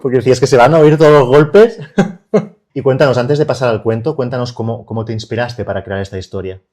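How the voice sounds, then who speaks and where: A man with a Spanish accent from Spain hosts a podcast interview at an easy pace. His voice is mellow and dark, at a middle pitch, and he often restarts his sentences. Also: fast. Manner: warm, hesitant, with many restarts. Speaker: podcast host. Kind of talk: casual interview. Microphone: studio microphone.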